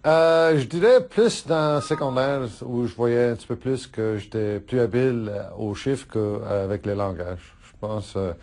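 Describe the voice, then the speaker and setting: A middle-aged man whose first language is Italian, answering interview questions in a halting way with many fillers and restarts, laughing often. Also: medium pitch, darkish tone. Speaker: politician interviewee.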